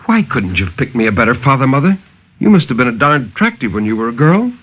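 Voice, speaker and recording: A man's voice: medium pitch, very animated, fast, voice pushed up. A man voicing a sulky, discontented young daughter with a complaining manner in 1950s radio horror storytelling. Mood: bitter. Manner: complaining, petulant. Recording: muffled 1950s radio broadcast.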